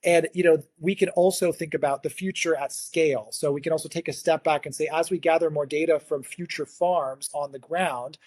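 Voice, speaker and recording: A man, a native English speaker, giving earnest explanatory interview answers as a guest, sometimes halting and repeating words. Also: fairly high pitch, darkish tone, dry recording, clean studio sound. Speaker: guest, agritech consultancy founder.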